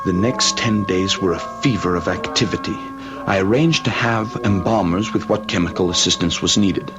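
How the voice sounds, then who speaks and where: Actor as an earnest, low-voiced gentleman. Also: dull audio, lightly acted. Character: earnest, inquisitive gentleman narrator and loyal friend. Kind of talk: old-time radio drama acting and narration.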